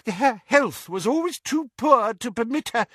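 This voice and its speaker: A man's voice: sits high, very animated, unhurried, a bit bright, no room sound, studio quality, voice pushed up, heavily acted. A man voicing a frail, loyal old wizard in a storybook reading, wheezy and trembling, pleading in stiff, wounded indignation. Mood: desperate, pleading. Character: frail, miserable, indignant elderly male wizard, loyally defensive.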